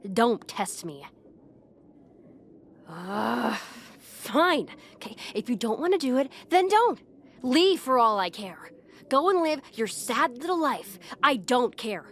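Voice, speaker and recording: A woman's voice: a little high, very animated, fairly bright tone, heavily acted. Woman voicing a teenage popular bully girl, bossy, exasperated, easily flustered, audio roleplay, noisy background. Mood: threatening, angry. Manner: exasperated, pushy, halting when embarrassed. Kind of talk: acted ASMR roleplay monologue to the listener.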